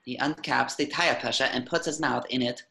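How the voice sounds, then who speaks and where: Bright, crisp-edged male script narrator. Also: mid-range voice, steady tone, a little fast. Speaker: narrator reading stage directions. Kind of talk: scripted narration, cartoon table reading.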